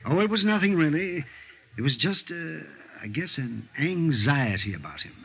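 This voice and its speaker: A man's voice: a little high, sing-song, normal speed, gravelly, bright, forceful tone, heavily acted. A man playing an anxious middle-aged head accountant in a 1950s radio drama, hesitant and repeating himself. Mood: flustered, hesitant. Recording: noisy, muffled 1950s radio recording.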